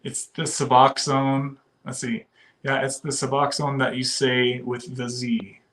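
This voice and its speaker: A man's voice: medium pitch, little pitch movement, measured pace, a bit bright. A man from the western US hosting a casual livestream, chatting loosely, laughing often and reading viewer comments aloud. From western United States. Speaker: livestream host.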